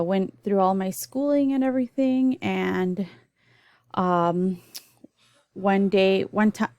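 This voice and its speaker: A woman's voice: medium pitch, a little mellow, no echo. Woman lecturing on nursing, explanatory with frequent ums and restarts, recorded dry. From El Salvador, raised in the US. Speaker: nursing instructor.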